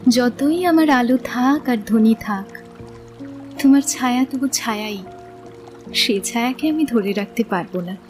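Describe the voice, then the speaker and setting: An actress as a young woman in love in an audio drama, clear-sighted and wistful. Her voice is high, with gentle, reflective phrasing, over faint music. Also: measured pace, long pauses, natural voice. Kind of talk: literary audio drama acting.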